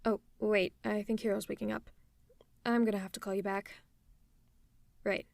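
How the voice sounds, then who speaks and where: A woman playing a tough but caring female supervillain in an ASMR roleplay, speaking in a conversational, reassuring and gently teasing way. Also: mid-range voice, little pitch movement, normal speed, creaky, no room sound, close to an everyday voice. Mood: exasperated. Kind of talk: ASMR roleplay audio drama.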